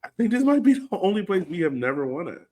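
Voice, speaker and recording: A man's voice: sits high, sing-song, fast, a little mellow. An American man co-hosting a soccer podcast, breaking down a match in informal, slangy casual talk.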